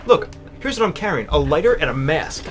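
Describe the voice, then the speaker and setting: A man's voice: on the high side, sing-song, brisk. A man co-hosting a casual video game let's-play, who teases his partner and explains what he is doing in the game. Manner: playful, teasing, explains gameplay. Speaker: co-host of a gaming channel.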